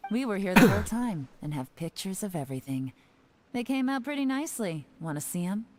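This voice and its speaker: An actress playing a cool, confident, teasing investigator in a video game, dry and assured in delivery. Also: female voice, mid-range voice, sing-song, a little fast, over loud music, close to an everyday voice. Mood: cool, taunting.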